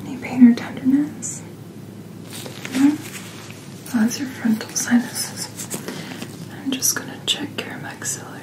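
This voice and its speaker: A woman speaking in a soft, breathy whisper, gently and reassuringly playing a doctor in an ASMR roleplay, close to the microphone. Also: sits high, fairly even intonation, unhurried, long pauses, low-quality recording. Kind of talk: soft-spoken ASMR medical checkup roleplay.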